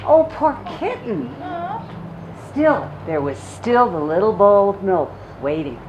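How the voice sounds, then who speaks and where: Lady reading to little kids, super expressive and sympathetic, roomy echo and noise behind her. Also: medium pitch, takes it slowly. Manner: animated, sympathetic storytelling to young children. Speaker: children's storyteller. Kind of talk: expressive picture-book read-aloud. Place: public library story time room. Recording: echoey room with clear background noise.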